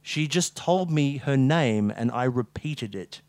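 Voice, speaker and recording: A man voicing a brisk, exasperated mouse detective in a comic story, matter-of-fact and commanding. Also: on the high side, sing-song, a bit bright, dry recording.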